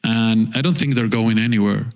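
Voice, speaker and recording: A man's voice: lowish voice, normal speed, a little mellow. A man speaking on a conference panel, earnest and repeating words as he works out his points. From Argentina.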